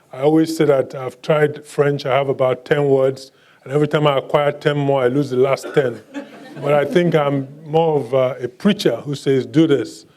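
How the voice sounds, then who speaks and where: A male author whose first language is Igbo answers audience questions at a book talk, speaking in a measured, halting way with many fillers and restarts and a dry, self-mocking humor. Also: mid-range voice, darkish tone.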